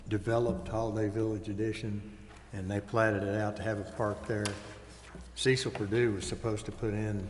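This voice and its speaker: American male, informal matter-of-fact explainer, public meeting, roomy echo with faint noise. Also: lowish voice. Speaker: meeting participant giving background. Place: council chamber. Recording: echoey hall, faint noise.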